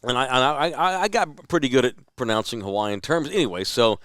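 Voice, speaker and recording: A man's voice: medium pitch, a little fast, no echo. A man with a Texas accent telling a story in a casual podcast chat, acting out the people he quotes. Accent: Texan. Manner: animated storyteller, quotes others in a lively voice.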